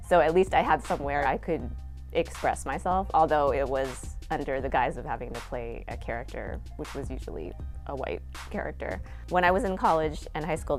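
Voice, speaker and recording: A middle-aged woman director from Seattle, speaking reflectively in a documentary interview with occasional laughs. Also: rumbling low. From Seattle area, USA. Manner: reflective, laughs now and then while talking. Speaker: theater director.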